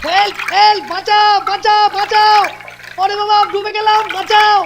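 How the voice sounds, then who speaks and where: A woman voicing a panicked drowning cartoon character, shouting repeated cries for help. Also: very high voice, flat delivery, normal speed, vocal fry, background noise.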